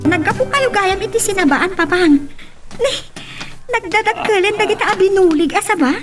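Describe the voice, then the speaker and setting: A woman acting a young pregnant daughter, gentle and respectful, in a family radio drama, with an Ilocano accent. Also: extremely high pitch, animated, moderate pace, music in the background. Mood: earnest. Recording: faint background noise.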